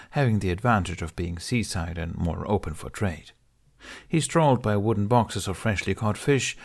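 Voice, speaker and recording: A man's voice: lowish voice, creaky, darkish tone. A man reading scripted story narration as an audiobook narrator.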